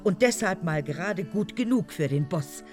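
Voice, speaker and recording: A woman's voice: on the low side, animated, moderate pace, over music, lightly acted. An actress playing an older mother grieving a murdered son, solemn, accusing and emphatic, in a radio drama. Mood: grieving, defiant.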